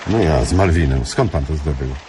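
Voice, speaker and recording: An actor playing a curt, puzzled and wary older doctor in a radio play. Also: male voice, very deep voice, moderate pace, warm tone, soft background music. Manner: curt, questioning. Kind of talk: radio drama acting.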